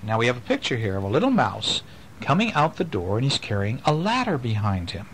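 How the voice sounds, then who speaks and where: Animated dad reading bedtime stories. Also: male voice, mid-range voice, brisk, a little hiss or hum, rough recording. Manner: gentle, animated storytelling with questions to the kids. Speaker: father reading to his children.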